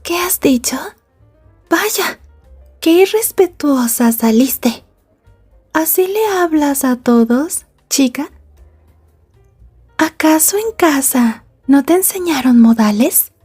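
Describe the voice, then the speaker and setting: A woman playing a sweet, possessive schoolgirl girlfriend in an ASMR roleplay, soft and polite with controlled menace. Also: high voice, expressive, takes it slowly, pauses often, soft background music. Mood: offended, cool. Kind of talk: Spanish ASMR roleplay acting.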